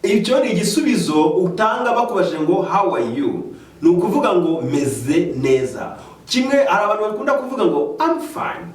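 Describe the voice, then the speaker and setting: Lively Rwandan man teaching English. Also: sits high. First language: Kinyarwanda. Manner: slow, careful explaining, lively rising questions. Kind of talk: bilingual language lesson. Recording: echoey room, mic at a distance.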